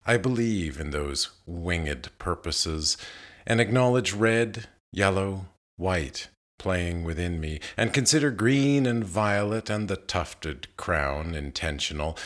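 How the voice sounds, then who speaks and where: A man reading poetry aloud in a measured, deliberate manner, pausing between phrases. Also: low voice, fairly bright tone. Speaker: poetry reader. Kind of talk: literary poetry reading, scripted recitation.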